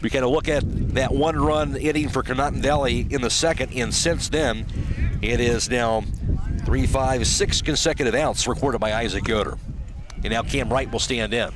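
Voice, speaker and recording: A man's voice: medium pitch, moderate pace, background noise. An American man calling live baseball play-by-play on radio in short, clipped phrases from an outdoor field. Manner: clipped, fragmentary calls of the action.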